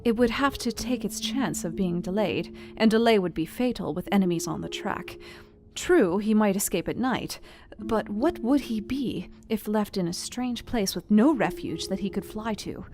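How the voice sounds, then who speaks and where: An actress playing an earnest young Victorian wife, reading her journal with precise, deliberate diction in a Gothic audio drama. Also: medium pitch, natural voice. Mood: analytical, intent. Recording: music behind the voice. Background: instrumental music.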